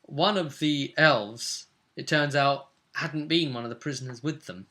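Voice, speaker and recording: A man with a bright, forward voice works as game master. He recaps a tabletop role-play adventure conversationally, like a storyteller who slips into quoted dialogue. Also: on the high side, unhurried.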